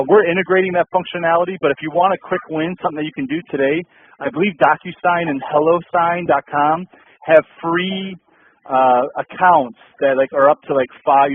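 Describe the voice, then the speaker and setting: A man's voice: fairly high pitch, breathy edge. A man hosting a business update call, talking casually with many ums and uhs and repeating his points. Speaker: host of a dealer update call. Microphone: phone line. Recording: tinny dry phone line.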